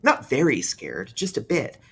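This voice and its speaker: A man's voice: medium pitch, huge pitch swings, vocal fry, slightly rough, voice pushed up, full character voice. A man voicing a precocious, serious young boy in an eerie storybook, earnest and thoughtful, a bit scared. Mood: earnest, slightly scared. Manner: earnest, matter-of-fact, pausing thoughtfully. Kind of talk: read-aloud eerie storybook dialogue.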